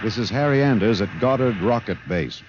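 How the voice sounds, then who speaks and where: An actor playing a grave, urgent news reporter in a 1950s radio drama, with a formal, measured delivery. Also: male voice, on the low side, expressive, very noisy, natural voice. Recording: dull old radio recording, background roar.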